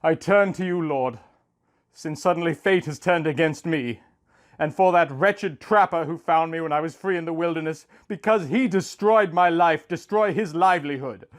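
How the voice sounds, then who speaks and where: A man's voice: on the high side, moderate pace, voice pushed up, natural voice. A man playing a dying wild warrior, bitter and anguished, in an impassioned, declamatory storybook reading. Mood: furious cursing.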